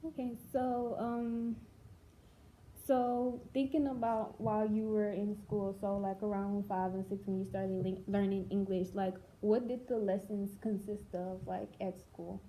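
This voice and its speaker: A woman's voice: mid-range voice, little pitch movement, unhurried, mellow, a little hiss or hum, low-quality recording. A young adult woman, a teacher, interviewing her student and prompting her with follow-up questions. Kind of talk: casual interview.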